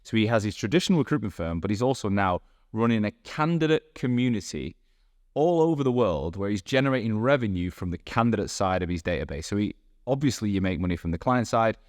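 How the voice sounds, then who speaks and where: A man's voice: on the low side, expressive, fast, no room sound, studio quality. A man from England with a Manchester accent, hosting a casual podcast interview and chatting freely. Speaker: podcast host. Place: room in Dubai.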